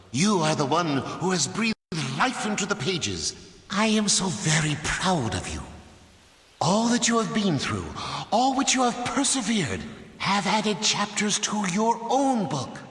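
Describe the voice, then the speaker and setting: A man playing a gentle, proud old martial arts master in a game cutscene, speaking in a measured, fatherly way. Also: on the high side, full character voice. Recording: echoey hall with long reverb.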